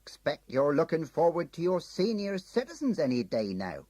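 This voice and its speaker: A man's voice: on the high side, huge pitch swings, normal speed, darkish tone, dry recording, voice pushed up. A man voicing a cheerful, chummy male station ticket clerk who teases a regular customer. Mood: jovial. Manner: jovial, teasing.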